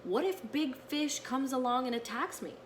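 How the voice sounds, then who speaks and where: A woman's voice: high voice, expressive, normal speed, pitched above the performer's own voice. Woman reading as an earnest little storybook hermit crab, noisy recording. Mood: worried. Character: small male hermit crab, earnest, polite storybook creature.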